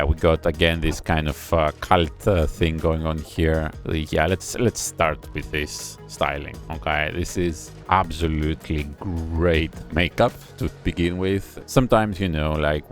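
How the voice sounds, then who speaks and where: Male reviewer with a very low, gravelly voice doing an unhurried, explanatory reaction commentary. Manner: unhurried, explaining step by step with many pauses. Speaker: music video reviewer. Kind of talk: casual reaction and analysis commentary.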